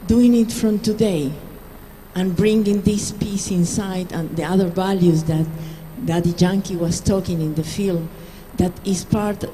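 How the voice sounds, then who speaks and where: A woman's voice: fairly low pitch, warm tone, a little hiss or hum, poor audio. A woman from Israel giving a short earnest speech, inviting the audience to join in. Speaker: guest speaker. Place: large hall on stage.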